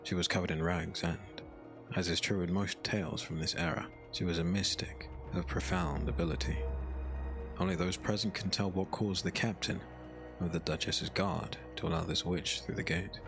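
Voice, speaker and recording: Deep, creaky male storyteller. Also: fairly even intonation, moderate pace, over music. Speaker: narrator. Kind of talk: scripted storybook narration.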